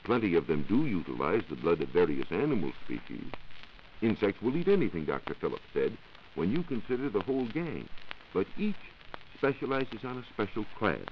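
A man, a 1940s radio science reporter, giving scripted explanations in formal wording. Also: sits low. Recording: dull, noisy 1940s radio recording.

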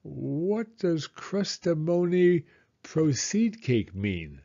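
Man voicing a humble, slow, husky teddy bear. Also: a little high, big pitch swings, warm tone, highs cut off, pitched above the performer's own voice, heavily acted. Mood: humble, troubled. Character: humble, dim, dreamy, good-hearted teddy bear. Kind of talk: children's storybook character reading.

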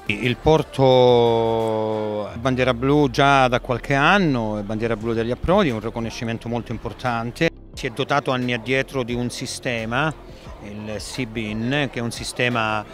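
A man's voice: medium pitch, moderate pace, faint music behind. A middle-aged man, a port director, answering interview questions at a boat show into a handheld mic, hesitating often with fillers and restarts. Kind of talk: trade fair interview.

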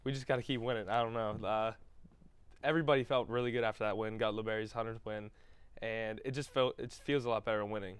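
A man's voice: lowish voice, fairly even intonation, fast, clear, resonant tone, no echo. A young man who plays college baseball, giving plain, modest interview answers. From Pennsylvania. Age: young adult.

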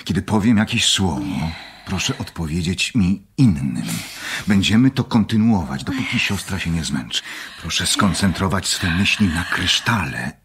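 An actor in a Polish radio play voicing a calm, methodical doctor, husky and measured, with a clinical manner. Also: male voice, low voice, a little hiss or hum. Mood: soothing, probing. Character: calm, methodical male doctor leading an experiment.

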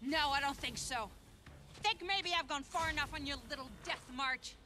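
A woman's voice: high-pitched, normal speed. An actress playing a defiant, foul-mouthed woman fighter in a video game, sneering and aggressive as she turns villain. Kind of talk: dramatic video game acting. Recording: loud noise behind the voice. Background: loud game effects and ambience.

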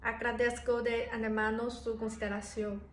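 A young woman from China, a native Chinese speaker, reading a formal application statement in careful, measured Spanish to a camera in a small room. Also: on the high side, level tone, echoey room, a little hiss or hum. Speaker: master's applicant. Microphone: phone or camera in front, head and shoulders framing.

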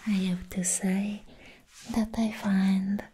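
A woman playing a sweet, affectionate vampire in an ASMR roleplay, whispering softly and soothingly close to the mic. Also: medium pitch, flat delivery. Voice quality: soft, breathy whisper. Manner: slow, soothing, gently repeating words. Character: sweet, affectionate young female vampire who likes humans. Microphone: close ASMR microphone.

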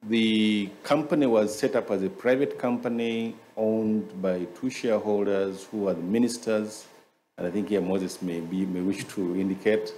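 A man, moderating a panel with halting, deliberate comments and questions. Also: lowish voice, warm tone, slight background noise. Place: conference stage, indoors. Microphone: gooseneck table microphone.

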